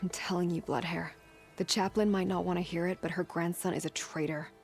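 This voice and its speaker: Low, gravelly battered warrior woman acted by a woman. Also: fairly even intonation, a little fast, natural voice. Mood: blunt, hardened. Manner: blunt, weary. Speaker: game character actor. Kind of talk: dramatic game cutscene acting. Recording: music behind the voice.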